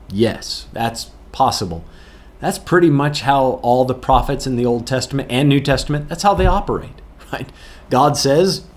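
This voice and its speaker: A man answering viewer questions as a pastor, talking through Bible verses in a plain, earnest way, with chuckles and restarts. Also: mid-range voice. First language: English, not Afrikaans. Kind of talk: casual Bible Q&A teaching.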